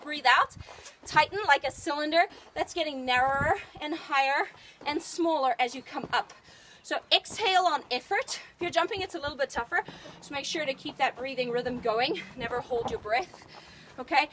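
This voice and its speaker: A woman fitness trainer leading a live outdoor workout, loud and upbeat as she counts down and cheers people on. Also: high voice, moderate pace, a little hiss or hum, rough recording. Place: outdoors in the sun. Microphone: phone.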